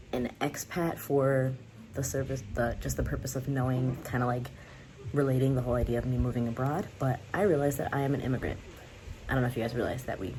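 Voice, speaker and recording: Low-voiced young woman vlogging candidly. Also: mellow, slight background noise, low-quality recording. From United States. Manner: candid, rambling, laughs often. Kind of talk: casual talk to camera.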